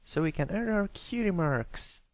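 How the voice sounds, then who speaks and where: A man's voice: high-pitched, lively intonation, measured pace, no echo, pitched above the performer's own voice. A man voicing an eager, friendly young filly pony who talks with enthusiastic, persuasive manner in a cartoon fan story.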